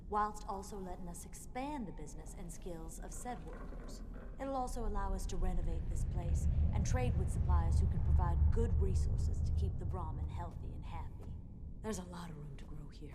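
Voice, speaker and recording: A young woman with a slightly low voice acts out a guarded cargo boss in a video game. She is dry and matter-of-fact, all business. A loud rumbling noise runs behind her. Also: normal speed, lightly acted. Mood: businesslike. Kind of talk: video game dialogue acting.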